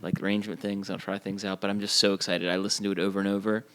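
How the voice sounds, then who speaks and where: A man's voice: sits low, little pitch movement, very fast, a bit bright, clean tone. A man speaking as a band musician and songwriter in a casual podcast chat, earnest and prone to casual swearing.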